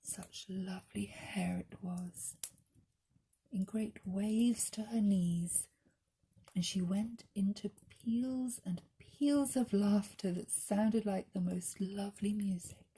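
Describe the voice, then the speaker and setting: A woman reading a children's story as narrator, in a gentle, deliberate storytelling manner. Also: medium pitch, breathy edge, low-quality recording. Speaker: story reader.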